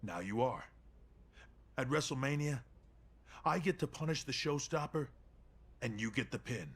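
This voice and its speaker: A self-assured veteran wrestler in a game cutscene. His voice is very rough and husky at a middling male pitch, and he talks like a schemer who is sure he has the upper hand. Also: animated, normal speed. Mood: calculating, smug. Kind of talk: scripted video game cutscene dialogue.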